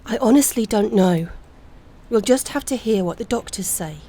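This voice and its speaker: A woman's voice: mid-range voice, lively intonation, normal speed, warm tone, a little hiss or hum, natural voice. A woman playing a worried, practical young woman in an audio play, polite and earnestly questioning.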